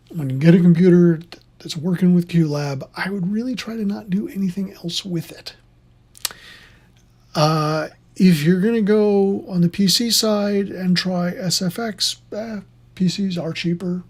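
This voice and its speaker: An instructor of unclear sex giving an informal, opinionated tutorial in a husky, conversational voice that rambles along. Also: medium pitch, a little mellow. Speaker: instructor in theater sound.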